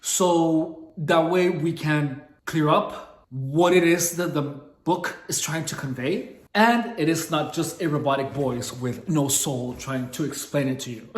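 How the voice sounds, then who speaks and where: Mexican-accented man reading a book aloud. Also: on the high side. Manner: reads slowly, stops to puzzle over words, swears casually. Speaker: book reader and explainer. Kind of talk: casual read-along of a book. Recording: echoey room.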